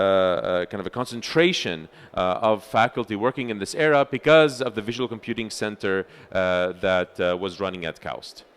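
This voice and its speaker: A man giving a conference talk as chair of a research center, speaking loudly into a microphone with frequent filler sounds and restarts. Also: mid-range voice. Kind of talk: conference presentation. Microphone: handheld or stage microphone.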